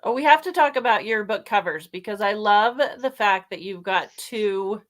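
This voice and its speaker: A woman's voice: medium pitch, normal speed. A woman hosting a casual book club chat, asking questions in an informal way over a video call. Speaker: book club host and interviewer.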